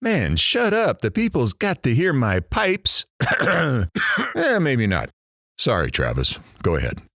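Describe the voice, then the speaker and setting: A man's voice: mid-range voice, wildly swooping pitch, slow, bright, forceful tone, no echo, clean studio sound, heavily acted. A man playing a brash, sardonic middle-aged radio talk show host in an audio drama, with showy, theatrical patter. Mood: mocking, irreverent.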